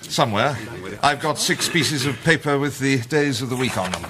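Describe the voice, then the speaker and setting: An actor playing a confident amateur sleuth who leads a crime circle in a period radio mystery, brisk and urbane. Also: male voice, mid-range voice, a crowd in the background, natural voice. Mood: organising. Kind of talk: period detective radio drama.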